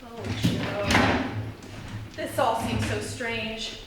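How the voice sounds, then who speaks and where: A woman's voice: fairly high pitch, fairly even intonation, drawn-out words. An actress playing an anxious, melodramatic young woman stranded with her sweetheart in a live radio horror spoof. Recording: echoey theatre, distant mic, noisy.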